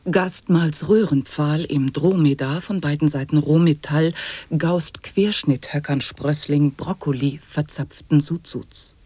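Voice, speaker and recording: An actress as a deadpan reciter of tongue-twisting nonsense in a radio play, articulating each syllable precisely. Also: female voice, low-pitched, a little slow, husky, no room sound. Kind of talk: avant-garde radio play recitation of sound poetry.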